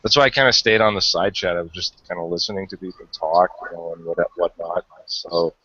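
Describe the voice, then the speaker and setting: A man's voice: low voice, steady tone, brisk, pushed and bright, breathy edge. A Hungarian-born man chatting casually in an online hangout, rambling and hesitant, swearing often. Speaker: guest caller. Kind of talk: casual chat. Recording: lo-fi online call audio.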